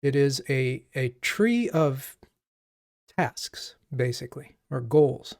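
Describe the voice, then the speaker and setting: A man from Japan hosting a coding livestream as a coach, chatting casually and haltingly as he thinks aloud. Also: medium pitch, lively intonation, a little mellow, no echo, clean studio sound.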